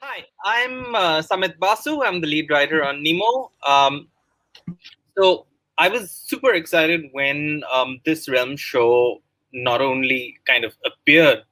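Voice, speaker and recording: Man, Indian accent, middle-aged, bright tone, dry sound, halting explanatory talk. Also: on the high side, dry recording, low-quality recording. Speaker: writer. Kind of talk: pre-recorded talk about own project.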